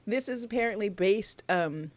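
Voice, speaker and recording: A woman co-hosting a casual podcast livestream, chatty and enthusiastic with halting restarts. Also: medium pitch, animated, darkish tone. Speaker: podcast co-host.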